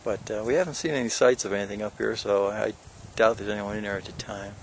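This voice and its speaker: A gravelly, lowish-voiced older man talks casually as he hikes a windy mountain trail, rambling with fillers and little jokes. It is recorded outdoors on a handheld camera with a light hiss of background noise. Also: fairly even intonation, fast. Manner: rambling, joking, many fillers. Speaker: hiker filming a vlog. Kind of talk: casual narration while hiking.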